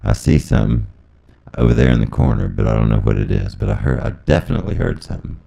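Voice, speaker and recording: A man giving a rambling, deadpan comedy monologue through a voice transformer that makes him sound deep and gravelly. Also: quick pace. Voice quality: electronically deepened, gravelly. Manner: deadpan, absurd musing. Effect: voice transformer pitch shifter. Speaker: comedy performer.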